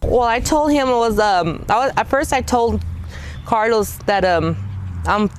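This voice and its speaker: A woman speaking in an interview clip, recounting events in a halting, hesitant way. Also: fairly high pitch, expressive, a little hiss or hum. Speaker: mother interviewed in a documentary clip. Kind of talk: interview testimony.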